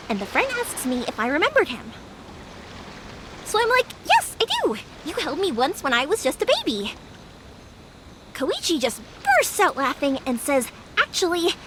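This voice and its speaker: A woman's voice: sits high, noisy background. An actress playing a cheerful, bold young woman in a video game, breezy and chatty in delivery. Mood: amused, embarrassed. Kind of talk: English video game voice acting. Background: background music.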